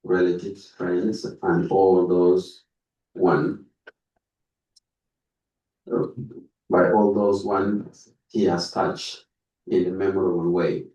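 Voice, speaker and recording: A man reading a prepared tribute aloud at a conference, haltingly, stumbling over words and repeating them. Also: sits low, level tone, dark tone. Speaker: speaker reading out a written tribute. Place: indoor conference room. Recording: roomy echo, distant microphone.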